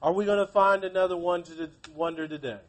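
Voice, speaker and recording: A man's voice: high-pitched, fast. A man teaching a class, reading aloud and prompting pupils with questions. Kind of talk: interactive classroom read-aloud and discussion.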